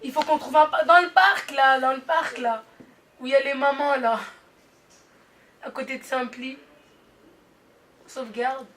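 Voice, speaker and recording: A woman's voice: high voice, steady tone, a little slow, long pauses, fairly bright tone, slight background noise. A woman chatting casually in a group discussion, tossing out ideas. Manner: casual, chatty, pointing out places. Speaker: group member. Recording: distant mic with crowd chatter.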